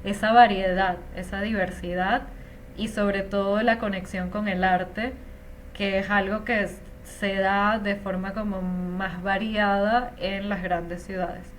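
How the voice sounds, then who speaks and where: Venezuelan woman, 30s, interview guest, chatty and reflective, mid pitch, slight background noise. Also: little pitch movement, slow. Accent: Venezuelan Spanish. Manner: chatty, reflective, frequent fillers. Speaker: interview guest, Spanish teacher. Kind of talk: casual conversational interview.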